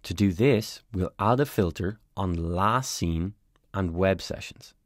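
A man calmly narrating a step-by-step software tutorial as a voice-over on a screen recording. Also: deep voice, slow pace, no echo, clean studio sound. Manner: calm, step-by-step explanatory.

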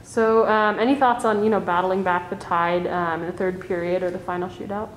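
A woman's voice: lowish voice, steady tone, brisk. A woman interviewer asking polite, scripted postgame questions. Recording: echoey room, faint hiss.

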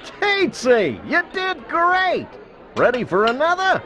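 A man playing a flamboyant, excitable bug restaurant host with theatrical delivery in a children's computer game. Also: very high-pitched, slow pace, a faint crowd, exaggerated voice. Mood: cheerful, encouraging.

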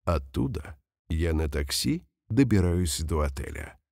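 A man reading example sentences slowly and carefully for language learners, saying each one twice. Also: low-pitched, sing-song, darkish tone, no echo, clean studio sound. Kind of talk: slow repeated phrase reading for learners.

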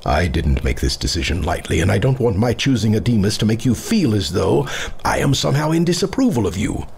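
An aging warrior father for a fantasy audio drama. He speaks low with a gravelly grain and swinging intonation, measured and formal, proud and loving beneath the gravity. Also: sing-song, a little mellow, slight background noise. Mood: stern.

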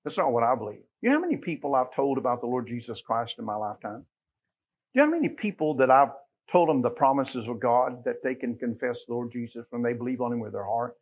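A middle-aged man with an American accent preaching a sermon in a folksy, conversational way, leaning on emphatic rhetorical questions, with a husky voice. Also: medium pitch, sing-song, fast talker, dull audio. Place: church sanctuary, at a pulpit.

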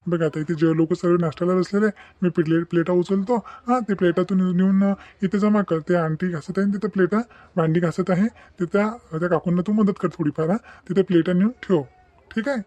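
A man voicing a kindly, exasperated snack-shop boss in an animated moral story, repeating himself in an avuncular way. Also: high-pitched, brisk, no echo, lightly acted. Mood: kindly, approving. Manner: repetitive, avuncular, mixing Hindi and Marathi.

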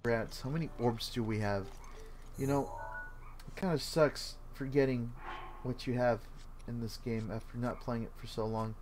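A man's voice: medium pitch, steady tone, normal speed, darkish tone, soft background music. A man streaming a video game, chatting casually in a laid-back, rambling way and swearing freely. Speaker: gaming streamer.

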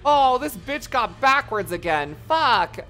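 An excitable game streamer whose voice is turning hoarse, chatting with viewers and swearing freely while reacting loudly to the game. The voice is bright and lively, swinging up and down a lot. Faint game music plays behind. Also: medium pitch, slow pace. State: voice getting hoarse. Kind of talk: casual live-stream commentary.

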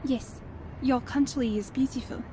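A woman's voice: high-pitched, steady tone, measured pace, warm tone, a little hiss or hum, low-quality recording. An actress playing a thoughtful French wife and mother, sincere and inquisitive, in an audio drama. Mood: gently questioning. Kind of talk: radio drama acting.

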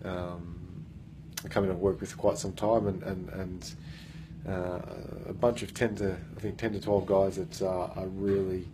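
Aussie guy in his 30s talking into his phone in an office room, kinda low voice, lots of ums and restarts. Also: flat delivery, moderate pace, background noise. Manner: earnest, many fillers and restarts. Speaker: coach. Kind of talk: casual self-recorded vlog. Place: office meeting room, indoors. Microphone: phone held at arm's length.

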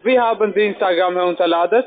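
A man's voice: sits high, fast talker, a little hiss or hum. A man with a foreign accent speaking German as a second language, persistently questioning and instructing someone as a cold caller. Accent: foreign, non-native German. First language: not German. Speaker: cold caller, phone scammer. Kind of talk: phone sales pitch, pushy small talk. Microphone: phone call. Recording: phone-like narrow sound with music. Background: music.

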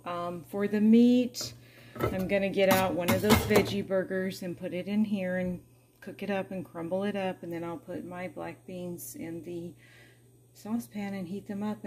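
A middle-aged woman with a somewhat low voice narrates her cooking in a relaxed, chatty way. She sounds homey and unhurried as she walks through each step. Faint kitchen background noise sits under her voice. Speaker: cooking vlogger. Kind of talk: casual cook-with-me narration. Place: home kitchen.

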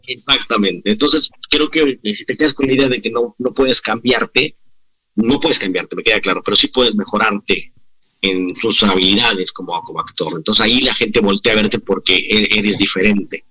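A bright-toned man in his forties with a Mexican accent talks over a video call, earnest and reflective. He restarts phrases often as he works through his thoughts. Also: lowish voice, moderate pace, poor audio. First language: Spanish. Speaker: actor guest. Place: indoor room.

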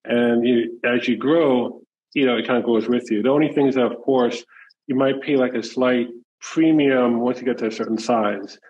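A man's voice: medium pitch, steady tone, normal speed, darkish tone, a little hiss or hum. A man on a group video call, explaining an idea at length in a loose, rambling way with plenty of fillers. His voice sits in a middle range, and the call audio sounds a little muffled. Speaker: call participant.

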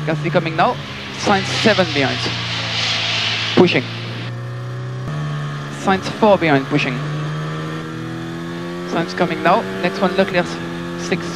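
A man's voice: high voice, slow, vocal fry, long pauses, over loud music. A man working as a race engineer, giving clipped, matter-of-fact instructions over team radio. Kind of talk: terse radio instructions to a racing driver.

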